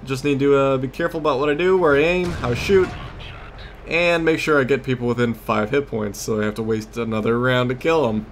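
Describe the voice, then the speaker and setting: Man, casual gaming host, mid-pitched, rambling and easily sidetracked, faint game noise in the background. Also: brisk. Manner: rambling, loses his train of thought. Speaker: host of a gaming video series. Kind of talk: casual gameplay commentary.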